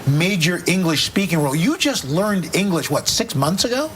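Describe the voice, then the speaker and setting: A man anchoring a morning TV show, chatting with guests in brisk banter with some stumbling restarts. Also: high voice, big pitch swings, a bit bright, slight background noise. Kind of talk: morning TV chat.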